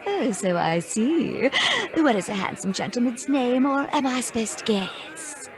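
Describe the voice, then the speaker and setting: Gushing, high-pitched society busybody. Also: female voice, lively intonation, measured pace, crowd noise. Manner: affected, effusive, drawling. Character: gushing, nosy, catty society woman. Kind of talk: radio drama acting.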